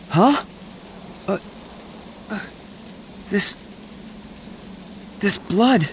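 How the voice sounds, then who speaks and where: Devout disciple, slow and fervent. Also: male voice, high-pitched, a little hiss or hum, full character voice. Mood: agitated. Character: devout, fervent male disciple of a dead messiah. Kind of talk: dramatic animated video game cutscene acting.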